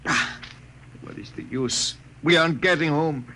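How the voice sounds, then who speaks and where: An actor playing a young, homesick Mexican labourer in halting, accented English, in 1950s radio drama style. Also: male voice, on the high side, bright, forceful tone. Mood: despairing. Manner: halting, simple broken English. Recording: noisy vintage 1950 radio recording.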